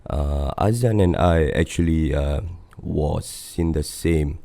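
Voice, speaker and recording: Very deep-voiced Singaporean man. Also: takes it slowly, gravelly, warm tone, no echo. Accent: Singaporean English. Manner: rambling, frequent fillers and swearing, laid-back. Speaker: podcast host and band vocalist. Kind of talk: casual solo podcast monologue.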